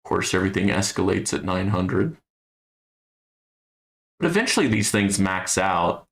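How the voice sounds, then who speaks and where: Gravelly low-voiced man streaming a game. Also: sing-song, moderate pace. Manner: thinking aloud, low-key and musing. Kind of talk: casual gameplay commentary.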